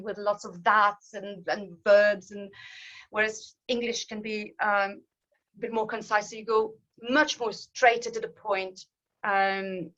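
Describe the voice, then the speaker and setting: A woman in her fifties whose first language is French, speaking with a light Alsatian French accent. Her voice sits at a middle pitch, and she pauses, restarts and fills gaps with ums as she talks. The sound is a little dull and lo-fi, like an online talk. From France. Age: 50s. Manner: hesitant, many restarts and ums, laughs at herself. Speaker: guest speaker.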